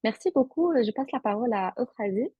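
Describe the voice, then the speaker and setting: A woman moderating a panel, summarizing in a halting way. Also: a little high, lively intonation, husky, no room sound. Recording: muffled dry audio.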